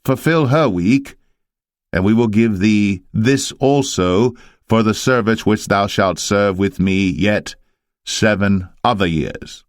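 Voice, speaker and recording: Man acting a low-voiced, shrewd biblical patriarch. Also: slow pace, no echo, studio quality. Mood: firm insistence. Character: Laban, shrewd older male patriarch bargaining with kin. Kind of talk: dramatised scripture reading.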